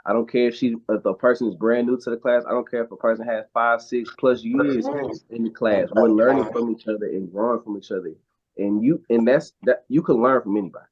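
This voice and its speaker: A man's voice: mid-range voice, fairly even intonation, a little fast. A man, a guest actor and model, talks in a casual interview. He gives earnest, emphatic advice and often restarts his sentences. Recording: dull lo-fi sound.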